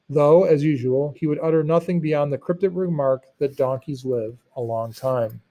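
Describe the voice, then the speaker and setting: A man narrating a storybook read-aloud in an expressive, teacherly way, with explanatory asides. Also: fairly high pitch.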